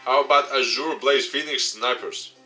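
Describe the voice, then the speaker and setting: Man voicing a brash, excitable young gamer guy. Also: medium pitch, normal speed, pitched above the performer's own voice. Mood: eager. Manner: cocky, excitable, slangy. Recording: game music behind the voice.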